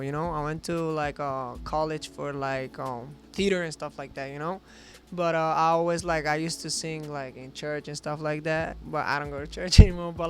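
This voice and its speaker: A young man, a native Albanian speaker raised in Spain, talking loosely in a studio interview with many fillers and a voice that trails off. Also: fairly high pitch. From born in Greece, raised in Spain. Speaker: guest musician. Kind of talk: casual interview.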